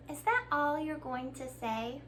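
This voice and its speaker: A woman's voice: high voice, very animated, takes it slowly, noisy background. A woman hosting a children's storytime, reading aloud expressively and speaking to young listeners with simple questions and praise. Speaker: children's librarian, storytime host.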